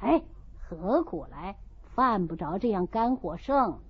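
A woman narrator puts on a cajoling husband of a wealthy house in a classic-novel audiobook. He wheedles and grumbles in a husky, expressive voice. The recording sounds slightly dull. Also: medium pitch, slow, voice pushed down, exaggerated voice. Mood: placating. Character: rich husband, cajoling and easily exasperated.

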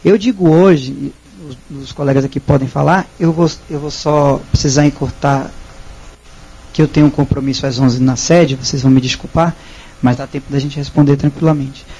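A man's voice: medium pitch, fairly even intonation, normal speed, mellow, husky, muffled recording. A man in his thirties with a Brazilian Portuguese accent, presenting a technical talk in a small auditorium with a handheld microphone, hesitant and explanatory with frequent restarts. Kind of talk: presentation and audience Q&A.

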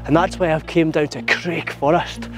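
Guy, 30s, arm's-length vlog outdoors, earnest and eager, slightly high voice, faint music behind. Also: moderate pace. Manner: earnest, gets loudly excited on finding things. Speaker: vlogger exploring waterfalls. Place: outdoors in forest and hills. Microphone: camera held at arm's length.

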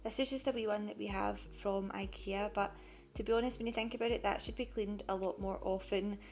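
Young woman chatting thru her cleaning vlog, voice-over, soft music behind. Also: medium pitch, steady tone, fairly quick. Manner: chatty, matter-of-fact. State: pregnant. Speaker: cleaning vlogger. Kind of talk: voice-over narration.

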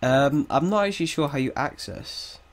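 A man with a cold, sounding a little croaky, thinking aloud through a coding tutorial with hesitant pauses. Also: fairly high pitch, lively intonation. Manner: thinks aloud while typing, hesitant, chuckles at mistakes. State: has a cold, slightly croaky. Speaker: game development tutor. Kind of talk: casual screen-recorded coding tutorial. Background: keyboard typing.

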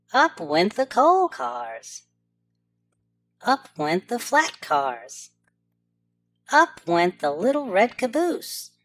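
A woman reading a storybook aloud to preschoolers with clear, deliberate enunciation. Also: medium pitch, fairly bright tone. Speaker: children's storybook narrator.

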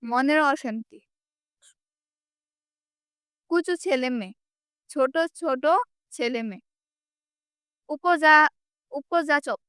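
A woman as a student, haltingly reciting word meanings in short answers. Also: high-pitched, a bit bright, dry recording, clean studio sound.

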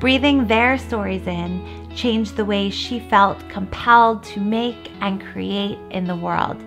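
A woman host narrating a story in a calm, measured voice-over. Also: mid-range voice. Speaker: host and interviewer. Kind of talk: scripted voice-over narration and brief interview replies. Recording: faint music beneath.

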